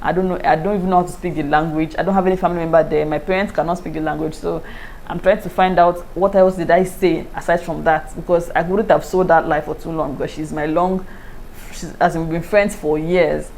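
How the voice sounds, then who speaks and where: A woman with a Nigerian accent, chatting casually to her viewers in a lively way and often breaking into laughter. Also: low voice, fairly quick, a little hiss or hum, low-quality recording. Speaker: YouTuber. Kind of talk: casual review chat to camera.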